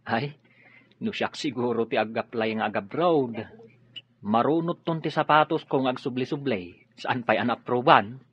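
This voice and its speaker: A humble, deferential driver played by a man in a radio drama, plain-spoken and chatty. His voice is husky and mid-pitched, with a slightly muffled recording. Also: moderate pace, lightly acted. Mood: humble, wistful. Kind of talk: Filipino radio drama acting.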